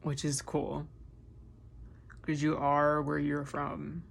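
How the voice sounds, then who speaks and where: A man chatting casually while doing his makeup, rambling through his thoughts with a touch of vocal fry. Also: a little high, monotone, slow pace, a little hiss or hum. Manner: rambling, musing train of thought. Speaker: makeup vlogger. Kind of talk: casual chat during a makeup tutorial.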